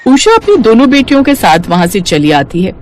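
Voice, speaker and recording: A woman's voice: on the high side, wildly swooping pitch, a little fast, slight background noise. A woman reading scripted narration for a moral story.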